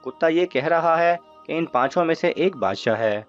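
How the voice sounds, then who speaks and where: Man reading an earnest, insistent thief, slightly high. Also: lively intonation, natural voice. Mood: amazed, insistent. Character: earnest, insistent male thief who understands dogs. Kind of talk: children's story dialogue. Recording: muffled audio with music underneath.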